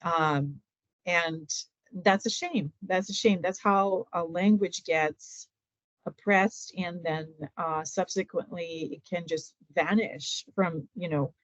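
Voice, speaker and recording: A middle-aged woman from Ukraine, a native Ukrainian speaker, talking casually as an interview guest and pausing often with fillers and restarts. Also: on the low side, no room sound. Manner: hesitant, many fillers and restarts. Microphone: video call. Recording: dull lo-fi video call audio.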